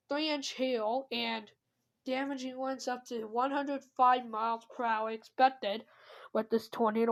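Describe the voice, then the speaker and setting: A woman's voice: fairly high pitch, low-quality recording. A woman announcing a scripted emergency weather bulletin, stumbling at times and correcting herself.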